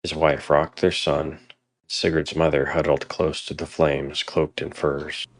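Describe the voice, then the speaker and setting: A man narrating a scripted dramatic story in a solemn, measured storytelling manner. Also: very deep voice, level tone.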